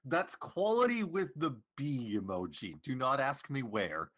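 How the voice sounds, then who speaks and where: A man with a lively, bouncing pitch and a bright, sharp tone, speaking in a casual podcast chat. He tosses off dry, joking quips between the others' talk. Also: unhurried.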